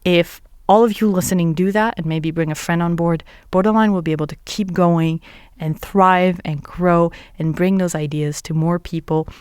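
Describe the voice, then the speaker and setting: A woman's voice: lowish voice, fairly even intonation, moderate pace, a little mellow, clean tone, dry recording, clean studio sound. A woman who is a non-native English speaker, hosting and interviewing on a podcast in a conversational way. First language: not English.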